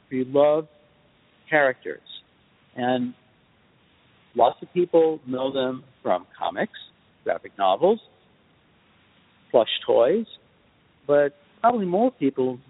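A man answering interview questions as a guest, speaking in a measured, thoughtful way in short deliberate phrases. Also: medium pitch. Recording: muffled phone-like line with reverb tail.

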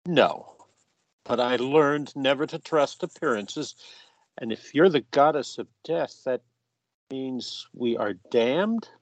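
A man acts a wary passenger facing death in a fantasy radio play. His delivery is slow, halting and grave. The sound is dry and close, and he comes across skeptical and subdued. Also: medium pitch, expressive, dry recording, rough recording. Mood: wary, fearful. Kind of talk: audio fantasy drama acting.

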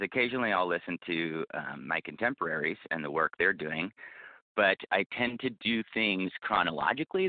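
A man's voice: sits low, no echo. A man from the United States explaining his work conversationally as an interview guest. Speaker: interview guest, podcast producer. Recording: thin phone-like audio.

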